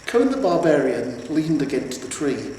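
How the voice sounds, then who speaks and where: A man's voice: a little high, big pitch swings, moderate pace, dark tone, recorded from a distance. A man reading scripted fantasy fiction aloud as a storyteller. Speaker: amateur audiobook narrator. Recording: very echoey reverberant room, faint noise.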